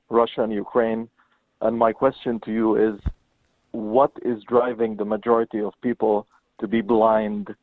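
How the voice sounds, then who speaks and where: A man's voice: on the low side, no room sound. A man from Lebanon calling in to a radio talk show, asking a question hesitantly. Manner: hesitant, many fillers and restarts. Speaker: caller. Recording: tinny phone line, dry.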